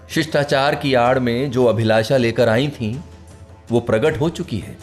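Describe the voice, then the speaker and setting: A man's voice: mid-range voice, slow, soft background music, lightly acted. An actor playing a proud, stubborn, reclusive male writer in a radio play, speaking in formal, literary, declamatory lines. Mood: lofty dismissal. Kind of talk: Hindi radio play acting.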